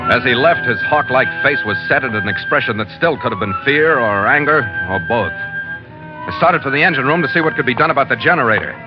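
An actor playing a no-nonsense, harried ship captain who also narrates, curt and commanding, in old-time radio drama. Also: male voice, medium pitch, expressive, a little fast, natural voice. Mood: narrating. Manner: curt, commanding, clipped log-style delivery. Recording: muffled vintage radio recording with music.

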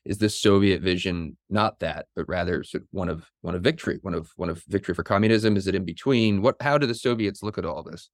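A man's voice: low voice, dry recording, studio quality. A man hosting an interview, asking long questions while thinking aloud. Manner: quick, thinking aloud with repeats. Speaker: interview host.

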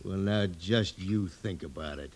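An American actor playing a hard, defiant, needling outlaw in an old-time radio western, clipped and taunting. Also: male voice, sits low, a little slow, darkish tone, a little hiss or hum. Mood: callous. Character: hard, callous, defiant male outlaw spoiling for a fight.

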